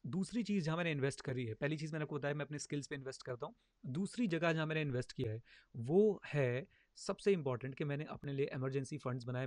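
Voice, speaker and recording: A man in his thirties talks money in a quick, emphatic rush, his voice sitting a little high. He slips English phrases into his points. The recording is close and dry, with no room sound. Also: darkish tone, no echo. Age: 30s. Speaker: finance educator, podcast host. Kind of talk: casual explanatory talk.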